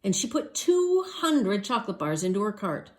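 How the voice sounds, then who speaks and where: A woman reading a children's story aloud as a storyteller, expressive and emphatic. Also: medium pitch, moderate pace.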